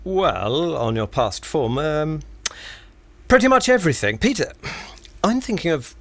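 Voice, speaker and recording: Man playing dour British 1920s engineer, swooping pitch. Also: big pitch swings, a little slow. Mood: worried, cautious. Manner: stiff, grumbling, proper. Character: cautious, dour, long-suffering 1920s English wireless engineer. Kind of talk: period radio play acting.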